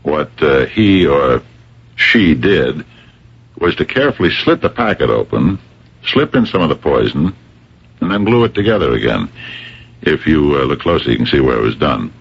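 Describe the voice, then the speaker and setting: A man acting in a radio mystery as a shrewd, dogged police captain who questions people in a deliberate, probing way, with a gravelly voice. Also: extremely low pitch, big pitch swings, heavily acted. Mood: probing, measured. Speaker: radio drama actor. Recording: dull old radio broadcast recording.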